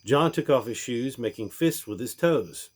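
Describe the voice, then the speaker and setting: A man reading a rhyming storybook aloud in a theatrical way, with deliberate pauses. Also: medium pitch, lively intonation. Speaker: reader of a storybook.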